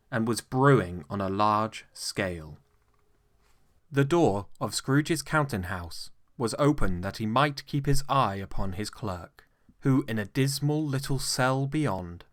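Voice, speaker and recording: A man's voice: mid-range voice, a bit bright, no echo, studio quality. A man with a British accent giving a theatrical, carefully phrased dramatic narration of classic fiction. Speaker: narrator, actor.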